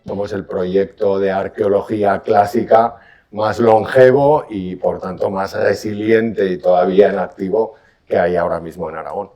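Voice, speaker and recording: A man's voice: lowish voice, darkish tone. A man speaking as an archaeologist in a documentary interview, explaining the site in an academic, explanatory way.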